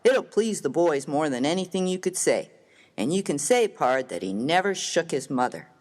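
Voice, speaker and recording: A man playing a rough, hearty, good-natured American miner of the Old West in a dramatised story reading, with slangy, folksy, emphatic speech. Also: fairly high pitch, big pitch swings, normal speed. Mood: admiring, boastful.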